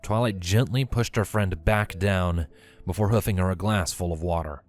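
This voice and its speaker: A man's voice: deep voice, moderate pace, darkish tone. A man reading scripted story narration as the narrator of a fan fiction tale. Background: faint music.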